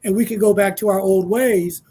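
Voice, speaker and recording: A man's voice: high voice, steady tone, moderate pace, dark tone, slightly rough. A man in his sixties, a panel guest speaking in a reflective, easygoing way on a casual radio talk show. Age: 60s.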